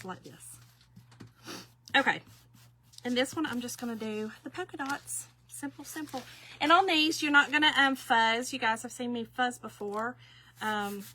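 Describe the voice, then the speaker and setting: Fifties woman, folksy craft tutor, bright and chatty. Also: mid-range voice. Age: 50s. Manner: chatty, folksy, addresses viewers as y'all. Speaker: craft demonstrator.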